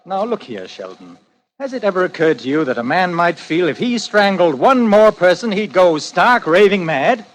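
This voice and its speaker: An actor in a 1940s radio drama playing a volatile stage actor with a clipped, theatrical delivery. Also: male voice, sits high, sing-song, normal speed. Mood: fed up. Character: weary, volatile stage actor who plays a menacing strangler. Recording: noisy old radio recording.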